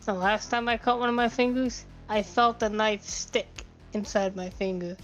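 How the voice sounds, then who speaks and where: A woman's voice: mid-range voice, steady tone, moderate pace, faint music behind. A woman co-hosting a podcast, telling a rambling, profane story in a casual chat. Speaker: podcast co-host.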